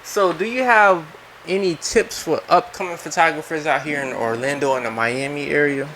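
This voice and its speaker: A man's voice: a little high, normal speed, bright, forceful tone, a little hiss or hum, low-quality recording. A man hosting a casual interview, loose and joking, speaking in slang and turning to address the viewers. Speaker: interviewer host.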